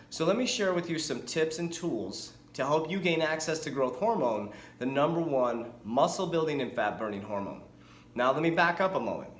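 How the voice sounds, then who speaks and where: Lively energetic man fitness coach. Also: on the high side, reverberant, a little hiss or hum. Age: 40s. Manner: energetic, chatty, self-correcting. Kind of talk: casual talking-head vlog.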